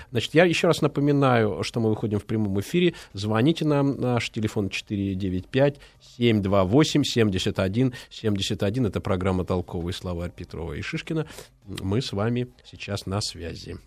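A man co-hosting a live radio talk show, speaking thoughtfully and pausing often with fillers. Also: on the low side, no echo. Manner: thoughtful, hesitant with many fillers. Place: radio studio. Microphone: studio microphone.

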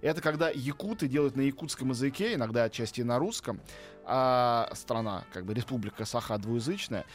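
A man's voice: mid-range voice, lively intonation, brisk, faint music behind. A middle-aged man talking as a film critic in a casual studio chat, enthusiastic and opinionated, with many fillers. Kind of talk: radio film review chat.

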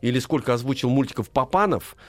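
A man's voice: mid-range voice, fast talker, no room sound. A man hosting a casual radio interview, chatty and conversational, often interjecting and repeating his words. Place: radio studio.

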